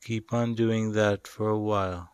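A man leading a guided meditation in a calm, soothing, instructional manner with long pauses. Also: fairly low pitch, smooth, no echo. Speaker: meditation guide and show host.

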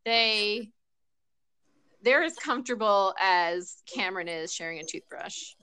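A woman's voice: medium pitch, animated, unhurried, sharp tone, clean tone, no echo. A woman co-hosting a casual podcast, chatting and laughing easily. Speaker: podcast co-host. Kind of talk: casual chat.

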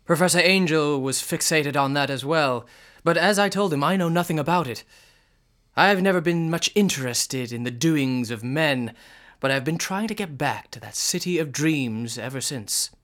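An actor playing an aloof, dreamy young bohemian painter in a radio drama, speaking in lofty, precise, philosophical phrases. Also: male voice, on the high side, moderate pace, fairly bright tone, lightly acted.

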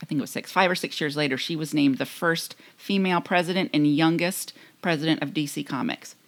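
Woman, 50s, American, notably low pitch, casual trivia-sharing podcast co-host. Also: a little fast.

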